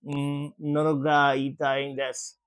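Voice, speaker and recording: A woman's voice: low-pitched, monotone, normal speed, clear, resonant tone, dry recording, lightly acted. A woman playing a polite learner making phone calls in a lesson role play, using short, simple phrases.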